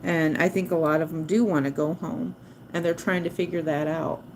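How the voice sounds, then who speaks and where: A woman's voice: sits low, fairly even intonation, moderate pace, darkish tone, slight background noise, poor audio. A woman hosting a livestream, chatting casually and rambling off topic, often spelling words out letter by letter. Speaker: livestream host teaching drawing.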